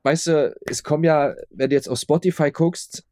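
A man answering casually as an interview guest, explaining haltingly and often repeating his words. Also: on the high side, steady tone, dark tone, no room sound, studio quality. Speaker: audio drama maker being interviewed.

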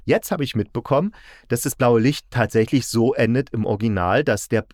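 A man's voice: mid-range voice, fairly quick, a little mellow, dry recording, studio quality. A man chatting casually as a podcast host, using colloquial, blunt and slangy phrasing. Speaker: podcast host discussing audio dramas. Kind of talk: casual chat.

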